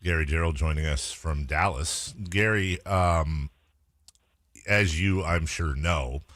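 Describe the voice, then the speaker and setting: A man's voice: very deep voice, slow pace, a bit bright, no room sound, studio quality. An American man hosting a sports radio interview in a casual, informal, meandering way.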